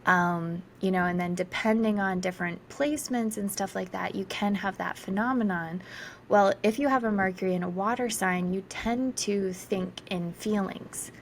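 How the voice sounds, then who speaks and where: A woman's voice: mid-range voice, normal speed, slight background noise. A woman from South Carolina, a guest astrologer, talking casually in an interview with many fillers and laughs. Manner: chatty, lots of fillers and laughter.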